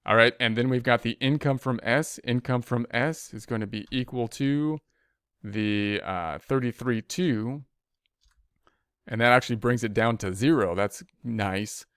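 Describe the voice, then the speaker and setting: A man's voice: mid-range voice, unhurried, a bit bright, clear, resonant tone, dry recording, studio quality. A man giving an informal spreadsheet tutorial as an instructor, thinking aloud step by step and often repeating himself. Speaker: accounting instructor.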